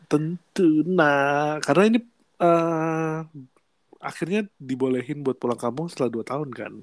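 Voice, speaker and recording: A young man with a Jakarta accent chats casually as a podcast co-host, slangy and relaxed with plenty of fillers. His voice is a little nasal from a cold. The recording is dry and close, with no room sound. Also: a little high, unhurried, dry recording. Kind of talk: casual chat.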